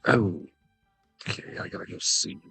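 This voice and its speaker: A man streaming a game with casual commentary, halting and stumbling over his words at times. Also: fairly bright tone. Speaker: gameplay streamer. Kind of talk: casual game commentary and reading dialogue aloud. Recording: faint game music behind.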